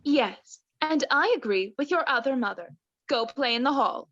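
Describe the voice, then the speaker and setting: A woman acts a doting, aura-minded wife in a high voice, close and dry on a lo-fi recording. She soothes with affected gentleness and can turn prim, as in a comic radio play. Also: expressive, dry recording. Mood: prim, snippy. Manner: affectedly soothing therapy-speak, drawn-out. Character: doting New Age wife, soothing but snippy. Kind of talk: student radio play acting.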